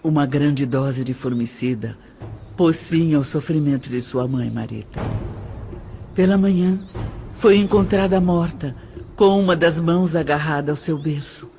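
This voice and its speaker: A woman playing a practical middle-aged adoptive mother in an audio drama, explaining in measured phrases with emphatic pauses. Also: low voice, dark tone, a little hiss or hum. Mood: grave, somber. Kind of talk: dramatized audio novel dialogue.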